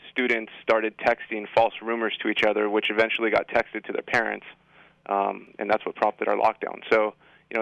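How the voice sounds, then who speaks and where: A man with a fairly low, clean voice answers as a school administrator on a radio program. His intonation stays very even and his explanations are measured and factual. The studio sound is dry. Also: vocal fry, clear, resonant tone, dry recording, lo-fi sound. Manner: measured, matter-of-fact explaining. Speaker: school administrator, interview guest. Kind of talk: unscripted radio interview answers.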